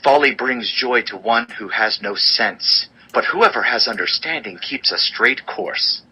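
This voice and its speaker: A man reads scripture as a dramatized audio Bible narration. His voice is very bright and forceful, with a husky grain and a middle pitch. The delivery is solemn and measured, each line set out as a firm declaration. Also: rough recording.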